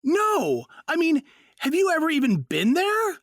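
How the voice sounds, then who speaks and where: A woman's voice: sits high, wildly swooping pitch, measured pace, no room sound, studio quality, heavily acted. A woman playing an indignant father on trial in an audio drama, protesting and dismissive.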